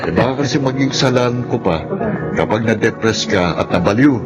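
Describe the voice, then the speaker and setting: Man acting a wry friend, loud music. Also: medium pitch, lively intonation, brisk, lightly acted. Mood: teasing. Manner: wry, teasing. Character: teasing male friend playing matchmaker. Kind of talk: radio drama dialogue.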